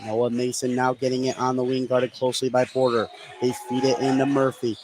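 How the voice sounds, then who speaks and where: A man's voice: mid-range voice, completely flat delivery, a little fast. An American man doing live play-by-play commentary on a basketball game. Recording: clear gym noise behind voice. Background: sports venue ambience.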